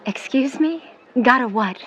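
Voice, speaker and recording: An actress playing a skeptical, protective middle-aged mother with a measured, formal, world-weary manner in a 1950s period audio drama. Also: high voice, lively intonation, a bit bright, exaggerated voice, rough recording.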